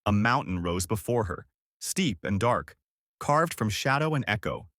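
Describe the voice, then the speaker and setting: A male storyteller reads a children's fairy tale from a script. His pitch is on the low side and moves in lively swings. The sound is dry and close, with no room around it. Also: measured pace, dry recording. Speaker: storyteller, narrator.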